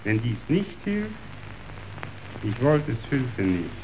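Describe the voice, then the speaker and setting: Langsam deklamierender, zynischer Großindustrieller. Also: male voice, mid-range voice, big pitch swings, background noise. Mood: confiding, brooding. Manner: declamatory, deliberate. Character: cynical, brooding meat magnate who despises mankind. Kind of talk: declaimed radio drama acting.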